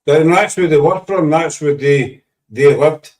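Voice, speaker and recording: A man from Scotland with a Scottish accent, chatting casually in a halting way, often repeating words, with a dry sense of humor. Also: a little high, a little mellow, recorded from a distance. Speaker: paranormal investigator. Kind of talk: casual chat.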